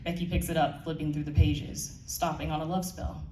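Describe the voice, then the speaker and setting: A woman's voice: low-pitched, level tone, normal speed, warm tone. A woman giving a live scripted narration of her audio drama, heard in a roomy space away from the mic. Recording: echoey room, distant mic, faint noise.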